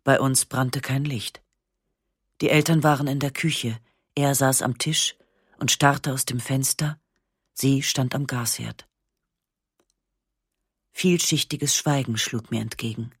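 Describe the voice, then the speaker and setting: A woman narrates a memoir for radio in a calm, measured way, her voice slightly husky. The studio sound is close and dry, with no room around it, and her pauses are slow and matter-of-fact. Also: rumbling low, dry recording. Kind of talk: literary first-person narration, radio reading.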